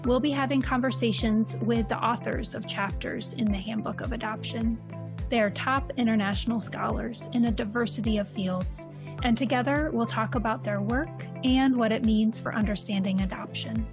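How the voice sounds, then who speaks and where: A woman hosting an interview, reading a prepared introduction and asking her guest questions in a clear, measured way. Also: fairly high pitch, over music. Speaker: interview host.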